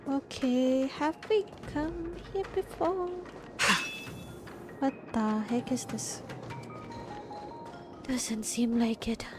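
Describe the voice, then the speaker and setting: High-voiced woman streaming a game. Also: extremely slow, long pauses. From India. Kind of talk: casual livestream chat while playing. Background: game music.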